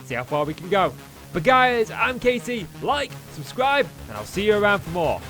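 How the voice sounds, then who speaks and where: A man hosting a let's play, chatting casually and narrating each move as he plays, his voice a little hoarse. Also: high-pitched, big pitch swings, moderate pace, a bit bright, soft background music. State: slightly hoarse, losing his voice. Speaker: Minecraft let's play host. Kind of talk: casual gameplay commentary.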